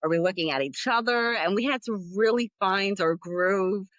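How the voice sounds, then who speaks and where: A woman's voice: medium pitch, moderate pace, fairly bright tone, dry recording, muffled recording. A woman hosting her own podcast, talking casually and candidly, rambling with frequent fillers. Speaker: podcast host. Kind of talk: casual solo podcast talk.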